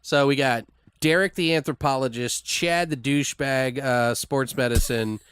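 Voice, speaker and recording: A man's voice: mid-range voice, moderate pace, a bit bright, clear, resonant tone, no echo. A man co-hosting, casually brainstorming a made-up story in a loose, rambling, profane way. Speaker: podcast co-host.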